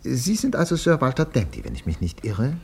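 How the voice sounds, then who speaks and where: An actor playing an unctuous, sensation-hungry radio reporter in a 1950s German radio play, theatrical in delivery. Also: male voice, mid-range voice, wildly swooping pitch, fairly quick, vocal fry, a little hiss or hum. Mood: politely intrusive. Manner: theatrical, swinging from declaiming to hushed confiding.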